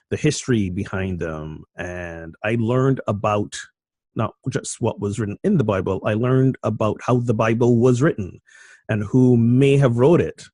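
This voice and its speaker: Middle-aged man, low register, dry close sound; easygoing guest storyteller. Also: dry recording. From Barbados. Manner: relaxed, self-deprecating, with frequent fillers. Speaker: minister, show guest. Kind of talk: casual conversational storytelling.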